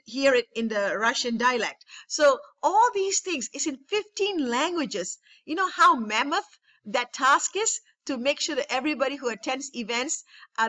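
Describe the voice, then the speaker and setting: Woman trainer on a webinar call, high voice, enthusiastic and repetitive. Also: a bit bright. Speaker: network marketing trainer.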